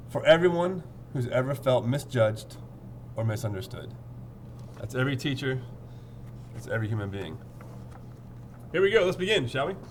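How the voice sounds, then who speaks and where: A man reads a children's picture book in an animated, swooping voice. He breaks off for informal, playful commentary, with noticeable ambient noise in the recording. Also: medium pitch. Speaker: read-aloud storyteller. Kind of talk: picture book read-aloud with casual asides.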